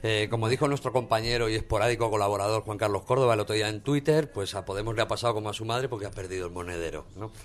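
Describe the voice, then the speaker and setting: A man co-hosting and presenting a satirical news segment, delivering deadpan jokes and ending lines with a questioning tag. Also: fairly low pitch, rapid-fire, few pauses. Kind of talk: semi-scripted satirical news reading.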